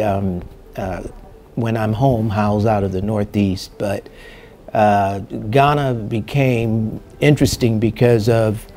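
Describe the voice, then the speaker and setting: A middle-aged man from the United States, president of a medical center, answering interview questions in a measured way with many fillers and restarts. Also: fairly low pitch, mellow, a little hiss or hum. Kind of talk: seated interview.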